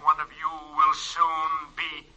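An actor playing a menacing spook who prophesies doom in 1940s radio horror comedy, with an ominous drawl. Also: male voice, fairly high pitch, completely flat delivery, measured pace, shouting, close to an everyday voice. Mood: ominous, gleeful. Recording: very noisy, muffled vintage radio recording.

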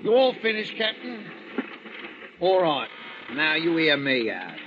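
A man's voice: on the high side, very animated, measured pace. A man playing a sneering brutal ship's bosun. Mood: menacing. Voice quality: sneering, mocking, coarse. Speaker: ship's mate in a radio drama. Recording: muffled old radio recording with faint hiss.

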